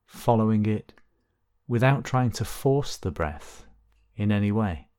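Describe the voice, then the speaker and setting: Guy with a fairly low voice doing a guided meditation, gentle and unhurried, leaves long gaps. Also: expressive. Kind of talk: guided body scan meditation.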